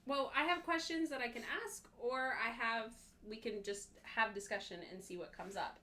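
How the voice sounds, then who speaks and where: A woman's voice: fairly high pitch, normal speed, bright, forceful tone, slight background noise. A woman co-hosting a casual book club livestream, explaining her thoughts point by point.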